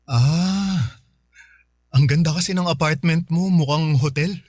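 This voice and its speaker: A man's voice: on the high side, unhurried, a little mellow, no echo, clean studio sound. Bashful, stammering young man. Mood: impressed. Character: Jules, shy, insecure, smitten young man. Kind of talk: radio drama dialogue.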